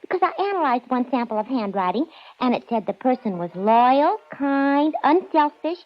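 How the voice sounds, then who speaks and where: A naive, gushing young secretary in a 1940s radio show. The voice sits high and sounds eager and innocent. The recording is dull and old. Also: female voice, sing-song, normal speed. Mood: guileless awe. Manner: guileless, wide-eyed, gushing. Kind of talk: 1940s radio comedy-drama acting.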